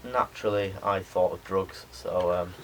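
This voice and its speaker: A young adult man, a media student giving a spoken pitch presentation to a class. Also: steady tone, measured pace. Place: indoor room with a small audience. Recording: noisy room recording.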